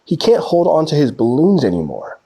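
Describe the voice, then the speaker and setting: A man's voice: on the high side, huge pitch swings, brisk, dark tone, husky, pitched above the performer's own voice, heavily acted. A man voicing an earnest young child in a storybook read-aloud. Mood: worried. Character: young child, earnest, upset over grandpa's fading memory.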